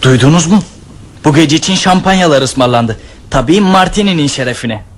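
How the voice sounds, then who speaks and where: A man's voice: fairly high pitch, animated, fairly quick, a little hiss or hum, natural voice. An actor playing a bossy boy who leads his siblings' pranks in a radio play. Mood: defiant. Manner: bossy, commanding. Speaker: one of the children.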